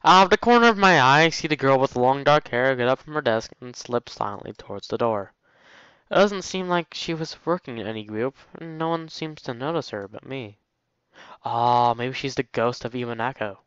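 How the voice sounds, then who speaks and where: Man, casual let's play host, smooth clear voice, jokey asides, dry slightly muffled recording. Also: mid-range voice, expressive, moderate pace, fairly bright tone, dry recording. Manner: jokey asides while reading. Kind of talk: casual visual novel read-aloud with commentary.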